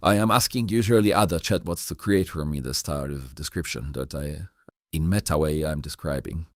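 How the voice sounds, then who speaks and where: A man hosting a casual chat, speaking haltingly and repeating short phrases. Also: very deep voice, dry recording, studio quality. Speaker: podcast host.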